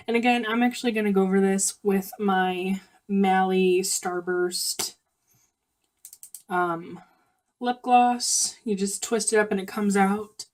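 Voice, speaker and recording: A young woman with a slight cold, chatting casually through a makeup tutorial with lots of ums and self-corrections. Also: mid-range voice. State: sniffly, coming down with a cold. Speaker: beauty vlogger.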